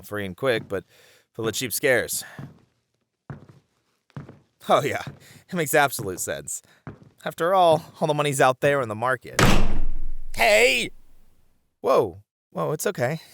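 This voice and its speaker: Man acting a playful, protective boyfriend, very animated. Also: medium pitch, slight background noise. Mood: teasing, amused, then startled, reassuring. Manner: teasing, chuckling, conversational reassurance to one listener. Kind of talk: ASMR boyfriend roleplay acting.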